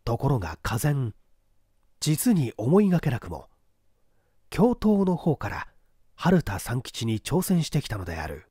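A man's voice: medium pitch, wildly swooping pitch, measured pace, pauses often, warm tone, breathy edge, dry recording, studio quality. A man reading mystery fiction as scripted, theatrical audiobook narration. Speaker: audiobook reader, stage actor.

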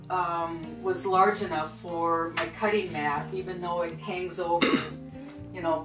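Chatty woman in an echoey sewing room. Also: on the low side. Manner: chatty, easygoing, many ums and you-knows. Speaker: vlog co-host showing her sewing room. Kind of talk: casual home tour chat. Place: small home sewing room, indoors.

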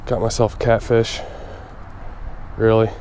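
A man's voice: on the low side, level tone, measured pace, darkish tone. A young man talking casually to his viewers while he fishes at a pond, recorded on an action camera. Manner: chatty, talks to viewers while fishing. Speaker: fishing vlogger. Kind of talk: casual outdoor commentary. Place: outdoors at a pond. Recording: outdoor noise from a body camera.

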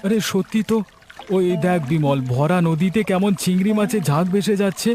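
A man voicing an earnest young village fisherman in a cartoon, talking in a lively, chatty way. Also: high voice, a little fast, over music, lightly acted. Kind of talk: cartoon voice acting.

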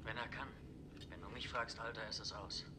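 A man's voice: fairly low pitch, fairly even intonation, normal speed, natural voice. An actor playing a pessimistic, resigned male space crewman in a 1960s television science fiction drama. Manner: fatalistic. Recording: noisy old 1960s TV soundtrack. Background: ambient noise.

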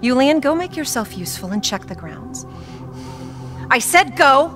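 A woman playing a kindly, authoritative village matriarch in a video game, gently commanding. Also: high-pitched, big pitch swings, moderate pace, over music. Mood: composed, welcoming.

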